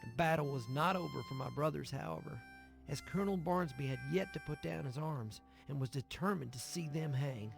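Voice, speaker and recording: An actor playing a devout, sorrowful younger brother in a Western game, speaking solemnly and earnestly. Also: male voice, a little high, fairly quick, vocal fry, over music. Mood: grave. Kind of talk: dramatic game narration and acted dialogue.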